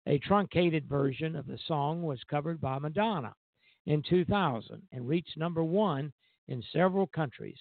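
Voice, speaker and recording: A man hosting a radio show, reading scripted music history in a halting way with stumbles and breaks mid-phrase, over a narrow phone-like line. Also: on the high side, gravelly, dry recording.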